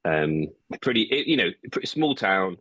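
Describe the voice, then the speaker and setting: Deep-voiced English man, rambling chat. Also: sing-song, moderate pace, bright, forceful tone, no echo, poor audio. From England. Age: early 40s. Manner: rambling, full of "you know" and restarts. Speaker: guest musician. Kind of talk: casual interview chat.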